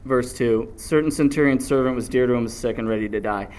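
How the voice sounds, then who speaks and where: A man's voice: mid-range voice, fast. A man preaching a sermon, reading scripture aloud and drawing answers back from the congregation. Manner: animated call-and-response preaching.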